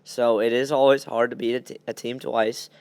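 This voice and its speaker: A man's voice: medium pitch, level tone, moderate pace, a little mellow, clear, resonant tone, dry recording. A young man hosting a podcast, chatting casually and giving blunt opinions on sports. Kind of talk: casual sports chat, game predictions.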